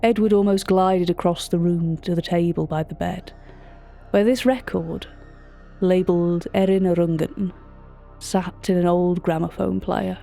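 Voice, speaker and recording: A woman playing a polite, curious auction curator, narrating scripted audio fiction in a measured, literary way. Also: fairly low pitch, steady tone, vocal fry, warm tone, soft background music. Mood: hushed wonder. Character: curious, polite female auction catalogue curator telling eerie tales. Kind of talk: scripted first-person narration.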